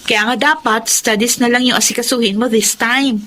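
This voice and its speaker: A Filipino woman playing a sensible young college friend in a radio drama, chatty and gently scolding. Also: a little high, steady tone, moderate pace, slight background noise. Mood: advising. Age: young adult.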